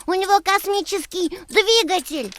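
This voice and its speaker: A woman's voice: very high-pitched, expressive, normal speed, heavy background noise. A woman voicing a bossy, stubborn little boy kitten in a children's cartoon, with a childlike manner. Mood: assertive.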